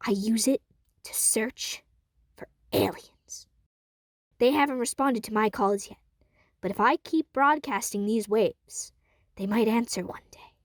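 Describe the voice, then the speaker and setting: A woman voicing a quirky male radio hobbyist living off the grid, talking casually and dropping to a hush for secrets. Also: fairly high pitch, a little slow, pauses often, dry recording, clean studio sound, close to an everyday voice. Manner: casual, hushed when sharing secrets.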